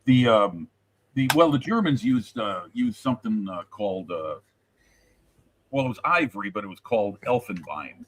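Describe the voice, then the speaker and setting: A man's voice: fairly low pitch, animated, normal speed, a little hiss or hum, rough recording. A man hosting a casual live modelling stream, rambling and jokey, often restarting his sentences. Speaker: stream host and model builder.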